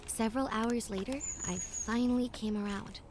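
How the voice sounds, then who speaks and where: A woman's voice: fairly high pitch, steady tone, normal speed, a little mellow, a little hiss or hum, close to an everyday voice. A woman narrating a scripted first-person story as a young woman recalling her journey away from home. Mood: reflective. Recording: music under the voice. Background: game music.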